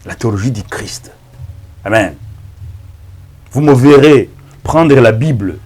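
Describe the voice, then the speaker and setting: Male Rwandan pastor, Kinyarwanda mother tongue: husky, emphatic, halting preaching delivery with very lively intonation. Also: medium pitch. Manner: halting, emphatic, repeats words. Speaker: pastor and evangelist. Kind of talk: preaching introduction to camera.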